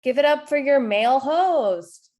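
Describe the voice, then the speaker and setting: A woman's voice: high voice, lively intonation, a little slow, darkish tone. A woman playing a young, sardonic stand-up comedian, with dry, punchy delivery in a table read. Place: video call from home.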